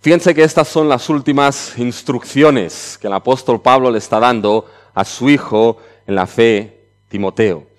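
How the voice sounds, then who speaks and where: A man's voice: mid-range voice, measured pace. A middle-aged man from Barcelona with a mixed Catalan and Chilean Spanish accent, preaching a conference sermon in a conversational way and often ending phrases with a checking "¿ya?". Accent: mixed Catalan-Spanish with Chilean touches.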